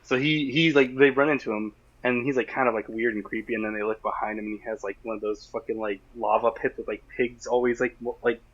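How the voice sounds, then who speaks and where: A man's voice: mid-range voice, fairly even intonation, quick pace, hardly any pauses, a bit bright, dull audio. A man co-hosting a podcast in casual, jokey chat, riffing and acting out imagined lines. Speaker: podcast co-host.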